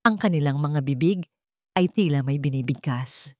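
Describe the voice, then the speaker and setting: Low-voiced woman narrating a dramatic romance. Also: moderate pace, dry recording, studio quality. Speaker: story narrator.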